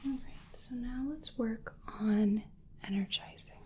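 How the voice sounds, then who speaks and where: A woman doing soft-spoken ASMR roleplay as a crystal healer, breathy and mostly whispering, guiding a client in a soothing, repetitive way. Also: on the high side, a little slow, a little hiss or hum.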